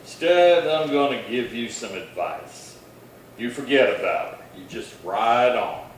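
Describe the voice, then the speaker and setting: An actor playing a steady, watchful western marshal with a measured, firm delivery, in old-time radio drama style. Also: male voice, mid-range voice, lightly acted. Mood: calm, firm. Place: little theatre stage, distant mic. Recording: very echoey hall, far from mic, faint noise.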